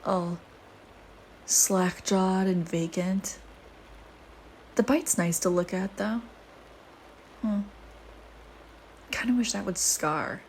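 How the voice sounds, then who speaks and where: A woman plays a darkly playful vampire in an intimate audio roleplay. She talks to the listener in a sardonic, flirty way at a medium pitch, like a casual predator teasing her prey. There is noticeable background noise behind her. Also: slow, long pauses, a little mellow. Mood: teasing, predatory. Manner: sardonic, teasing, casual murmuring with sighs and whispered asides.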